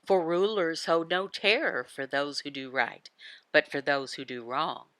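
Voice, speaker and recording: A woman giving a devotional Bible teaching in short, halting phrases, often asking questions and answering them herself. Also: low voice, sharp tone, dry recording. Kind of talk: devotional teaching voicing a signed sermon.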